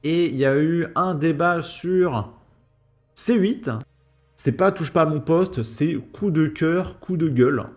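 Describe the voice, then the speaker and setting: A man's voice: on the high side, takes it slowly, faint music behind. A man giving an opinion commentary, indignant and full of rhetorical questions. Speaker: YouTube commentator.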